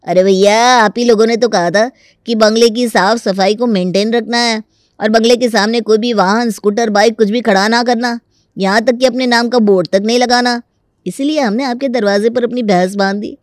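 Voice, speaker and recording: A man's voice: high-pitched, steady tone, moderate pace, no room sound, close to an everyday voice. A crafty young village man played by an actor in a comic cartoon story. He is folksy and mock-polite, yet stubborn and always ready to argue. The sound is dry and close. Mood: folksy, mock-innocent. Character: stubborn, crafty young rural male tenant with cattle. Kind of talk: Hindi comic story dramatization.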